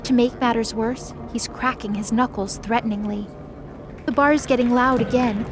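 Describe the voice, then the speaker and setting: A woman with a highish voice narrates a fantasy story from a script. Music plays clearly behind her words. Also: fairly even intonation, moderate pace.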